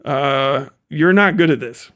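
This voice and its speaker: Higher-voiced man riffing bluntly on livestream. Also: slow pace, fairly bright tone. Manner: profane, blunt, sardonic. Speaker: live streamer. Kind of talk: off-the-cuff livestream commentary.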